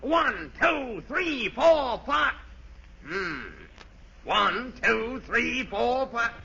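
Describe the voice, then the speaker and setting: A bossy carnival-style showman in an old radio comedy. His voice is very high and gravelly, with slow, emphatic announcing, on a dull vintage broadcast with faint noise. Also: expressive, pushed and bright, exaggerated voice. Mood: pompous showmanship. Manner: barker-like, emphatic, officious. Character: bossy showman guarding a roast like treasure. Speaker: actor in comedy radio show.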